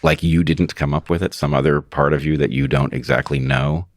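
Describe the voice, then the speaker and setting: A man's voice: a bass voice, fairly even intonation, a little fast, no echo. A man speaking as a podcast guest, articulate and thoughtful as he explains his ideas. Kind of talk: casual long-form conversation.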